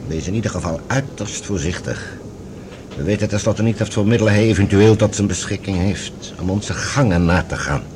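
Guy acting a formal bossy general in a radio sci-fi drama, lowish voice, bit of background noise. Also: natural voice. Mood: cautious, instructing. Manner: formal, measured, authoritative. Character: commanding, cautious male general and newly appointed colonial governor. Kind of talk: Dutch science fiction radio drama acting.

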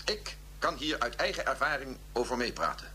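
Declamatory professor, bright, humming background. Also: male voice, mid-range voice, very animated, slight background noise. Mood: grave, declamatory. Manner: deliberate, declamatory. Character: coerced male physicist professor reading a grave public declaration. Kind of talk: radio drama speech.

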